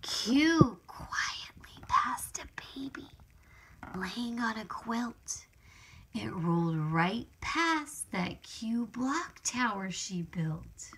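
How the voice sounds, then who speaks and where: A woman reads a children's storybook aloud at a very slow, deliberate pace. Her voice is high and bright, and she performs the text expressively for small children, with exclamations and a sing-song delivery. There is faint noise behind her. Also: sing-song, rough recording. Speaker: author reading own picture book.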